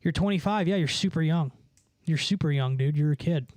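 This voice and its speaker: A man's voice: a little high, brisk, a little mellow, no echo. A man livestreaming, chatting casually with viewers in a rambling, profane, slangy way. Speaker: livestreamer and musician.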